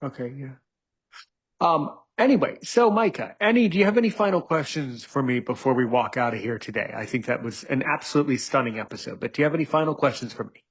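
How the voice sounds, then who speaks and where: A man's voice: mid-range voice, expressive, quick pace, breathy edge. A man hosting a casual live interview show, often repeating his words and phrases as he directs the conversation. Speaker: show host.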